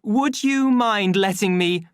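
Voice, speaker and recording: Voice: medium pitch, sing-song, takes it slowly, a bit bright, no echo, clean studio sound, voice pushed up. A reader playing a fussy young male official in an audiobook, officious and bossy yet timidly polite. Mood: nervous coaxing. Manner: clipped, officious commands and coaxing pleas.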